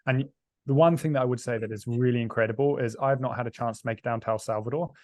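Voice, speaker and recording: A man raised in New Zealand, a podcast guest telling quick, chatty stories. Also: medium pitch, a little mellow. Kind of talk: casual interview chat.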